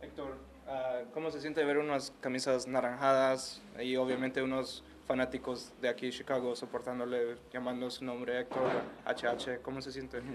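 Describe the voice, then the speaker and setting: A man's voice: medium pitch, completely flat delivery, normal speed, hardly any pauses. A man working as a reporter, putting post-match questions hesitantly, with little tag questions, in a noisy recording. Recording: clearly noisy room recording.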